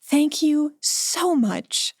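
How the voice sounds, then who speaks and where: A woman's voice: sits high, lively intonation, extremely slow, no room sound. A woman podcast cast member giving an outro announcement and reading out credits into a close microphone.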